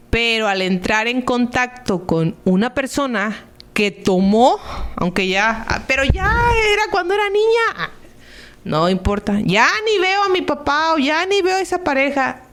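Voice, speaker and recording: A woman's voice: medium pitch, clear, resonant tone. Woman explaining in a conversational, didactic way. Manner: didactic, asks and answers own rhetorical questions. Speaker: Al-Anon member giving an informational talk. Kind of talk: explanatory radio talk, conversational.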